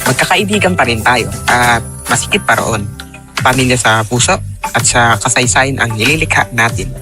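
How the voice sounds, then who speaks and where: A man's voice: medium pitch, moderate pace, close to an everyday voice. A man playing a loyal, hopeful village man in a radio drama. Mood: earnest. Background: music.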